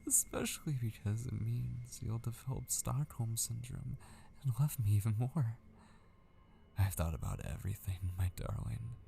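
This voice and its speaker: A man acting an obsessive, possessive kidnapper in an intimate ASMR roleplay, patient and menacing, hushed and often slipping into a breathy whisper. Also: lowish voice, slight background noise. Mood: coldly possessive.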